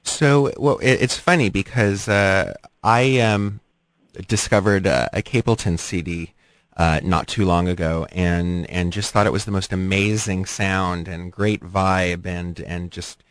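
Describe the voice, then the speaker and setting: A male interview host with a fairly low voice asks measured, probing questions. The sound is dry and close to the mic. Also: fairly even intonation, no echo. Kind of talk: radio interview.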